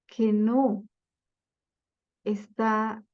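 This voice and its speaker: A woman giving a slide-based lecture on Bible translation, speaking deliberately with frequent fillers and self-corrections. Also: fairly high pitch, level tone, lo-fi sound.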